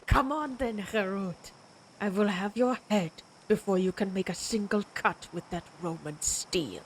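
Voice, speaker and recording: A woman's voice: mid-range voice, a little hiss or hum, natural voice, low-quality recording. A woman playing a proud, menacing vampire warrior of ancient Germanic tribes in a dramatic audio roleplay, speaking in a taunting, predatory way. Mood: contemptuous challenge. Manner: slow, taunting, theatrical, predatory.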